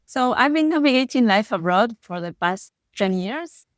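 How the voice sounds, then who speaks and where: A woman's voice: on the high side, animated, normal speed, dry recording. A middle-aged woman with an Argentine accent, a native Spanish speaker, talking reflectively about her own experience as a podcast co-host. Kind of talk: personal reflective talk.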